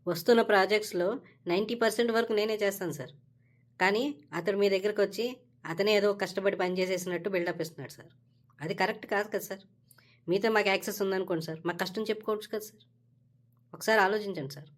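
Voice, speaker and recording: A woman's voice: mid-range voice, steady tone, fast talker, lightly acted. A woman voicing a brash, entitled and scheming young male office worker in a comedy cartoon, talking casually and cockily. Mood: flattering, wheedling. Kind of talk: Telugu comedy cartoon dialogue.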